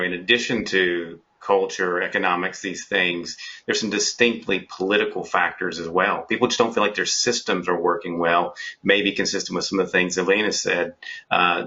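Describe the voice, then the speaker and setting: A man on a panel explaining poll findings in a measured way, leaning on filler phrases, with a husky voice. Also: low-pitched, sharp tone, lo-fi sound. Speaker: pollster panelist. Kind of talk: virtual panel discussion.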